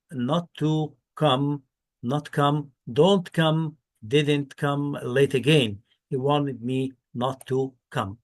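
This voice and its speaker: A man, an Arabic speaker, teaching English by reading exam questions deliberately, repeating words and explaining answers. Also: medium pitch, a little mellow.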